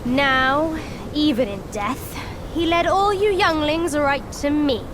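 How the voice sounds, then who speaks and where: A woman voicing a sly, gloating demon master in a video game cutscene, speaking in a slow, mocking, purring way. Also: sits high. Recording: game cutscene with loud ambient noise.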